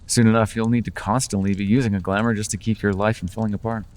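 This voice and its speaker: A man's voice: fairly low pitch, fairly even intonation, fast talker, a little mellow, in a large hall, close to an everyday voice. A man voicing a charming, persuasive male Fae who makes deals, with playful, coaxing salesman patter in an ASMR roleplay.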